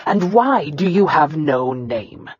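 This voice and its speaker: A woman voicing a brutal male military commander who talks slowly and coldly, full of menace. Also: on the high side, big pitch swings, husky, lo-fi sound, full character voice. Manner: slow, menacing and cold.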